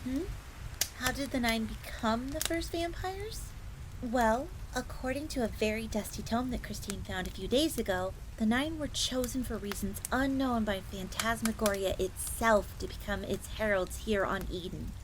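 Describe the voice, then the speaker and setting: A woman's voice: on the high side, normal speed, noisy background, lightly acted. A woman voicing a young, polite and eager fox maid who tells old lore in a roleplay audio drama. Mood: earnest, explaining. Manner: refers to herself in third person, explanatory.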